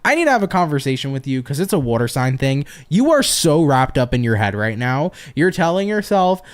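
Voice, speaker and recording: A man's voice: on the high side, sing-song, brisk. A tired man reading tarot on a casual livestream, chatty and profane, laughing often and answering viewer comments. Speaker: tarot reader hosting a livestream.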